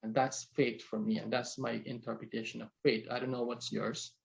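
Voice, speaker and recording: A man hosting an interview show, speaking in a sincere but halting way and often repeating words. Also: medium pitch, level tone, gravelly. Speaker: podcast host. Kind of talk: casual interview, introducing a guest.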